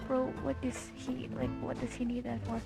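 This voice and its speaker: High-voiced gamer woman with creaky edges. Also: normal speed, music in the background. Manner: muttering to herself, excitable exclamations. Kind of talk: casual game playthrough reactions.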